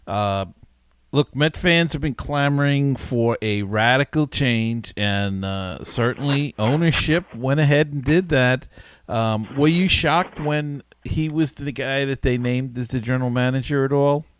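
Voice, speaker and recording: A man's voice: mid-range voice, a little slow, a little hiss or hum. A man hosting a casual sports podcast interview, offering his own opinions as he thinks aloud. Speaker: podcast host.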